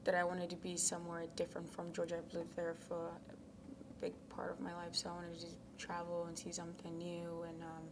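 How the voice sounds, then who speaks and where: A young woman from Ghana speaks in a low, fairly flat voice. She answers interview questions casually and softly, full of ums, and sometimes trails into a near whisper. Clear background noise sits under the recording. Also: fairly quick. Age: young adult. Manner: soft-spoken, many ums, drops to near whispers. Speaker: college tennis captain. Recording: noisy background.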